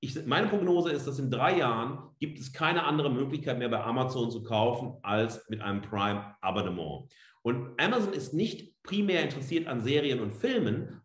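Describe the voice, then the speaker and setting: Animated man lecturing in an echoey room. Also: mid-range voice. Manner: emphatic and rhetorical, with repeated phrases and pointed pauses. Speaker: professor giving a lecture. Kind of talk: free-spoken academic lecture.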